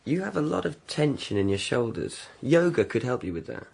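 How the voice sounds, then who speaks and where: An English actor playing a relaxed, chatty male poet who lays floors, in a radio comedy drama. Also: medium pitch, normal speed, creaky, husky, heavily acted. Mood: easygoing.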